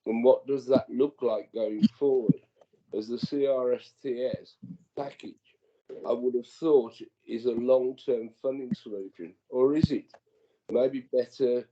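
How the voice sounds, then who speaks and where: A man's voice: mid-range voice, background noise. A male councillor asking probing questions at a formal committee meeting. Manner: measured, probing questions read from notes. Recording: muffled lo-fi meeting audio.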